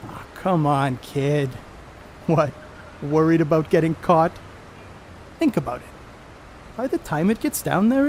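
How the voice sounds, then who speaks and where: A crude, boastful middle-aged baseball star acted by a man in a period stage play. His voice sits high and swings freely as he tosses out cocky slang. The recording has clear background noise. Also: darkish tone, full character voice. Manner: cocky, slangy, coarse-mouthed. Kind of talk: stage play acting, 1930s American banter.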